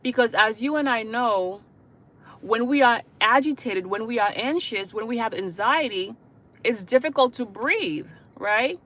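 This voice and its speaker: A woman from Haiti speaking as a hospice caregiver to her online viewers. Her voice sits fairly high, and her delivery is earnest and repetitive. She leans on "you know" and "okay?" between her points. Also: lively intonation, unhurried, fairly bright tone. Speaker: hospice caregiver and channel host. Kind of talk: livestream talk to viewers.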